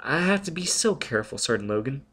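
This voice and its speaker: Man putting on a nervous young woman, high pitched, prim, high-strung, storybook telepath. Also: very animated, fast talker, a bit bright, husky, soft background music. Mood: anxious.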